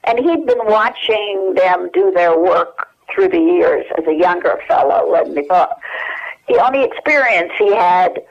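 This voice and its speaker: An older woman telling anecdotes as an interview guest, in a halting, conversational way over a telephone. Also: lowish voice, expressive, gravelly. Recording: dull telephone line.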